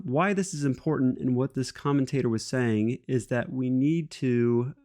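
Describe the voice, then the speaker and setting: A man's voice: medium pitch, darkish tone. A man walking through a screen-share coding tutorial in a casual, self-deprecating way, thinking aloud and using fillers as he goes. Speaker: instructor.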